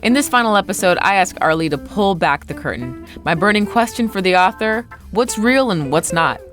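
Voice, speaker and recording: A woman's voice: medium pitch, lively intonation, moderate pace, a bit bright, soft background music. A woman hosting a podcast, moving between scripted introduction and casual interview questions. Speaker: podcast host interviewing an author.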